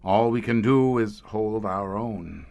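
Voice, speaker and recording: An actor playing a grim, weary older commander of a secret monster-hunting police squad in an audio horror drama, speaking in florid, deliberate phrases. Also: male voice, on the low side, vocal fry, darkish tone. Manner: eloquent, florid, deliberate speech.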